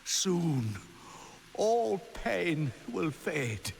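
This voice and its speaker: An actor playing an arrogant, devout old sorcerer in a video game, sly, sanctimonious and theatrical. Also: male voice, a little high, very animated, gravelly, exaggerated voice. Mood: defiant, fervent. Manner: sly, sanctimonious, drawn-out delivery. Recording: long hall reverb, faint background noise.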